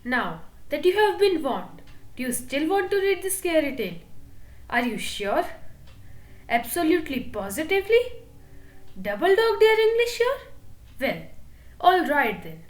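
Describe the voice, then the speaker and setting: A woman reading a children's book aloud in an expressive, playful storytelling manner. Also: high-pitched, unhurried, long pauses, reverberant. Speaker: reader.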